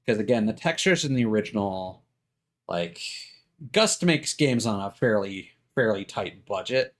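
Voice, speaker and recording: A man doing casual, rambling live stream commentary on a game, thinking aloud in hesitant fragments. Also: on the low side.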